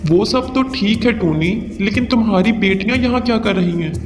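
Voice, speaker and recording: Guy doing bossy harsh bird dad from a cartoon, highish voice, big reverb, music under it. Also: brisk, lightly acted. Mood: irritated, scornful. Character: harsh, domineering father bird who favours sons. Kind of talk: Hindi cartoon voice acting. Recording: reverberant hall sound over music.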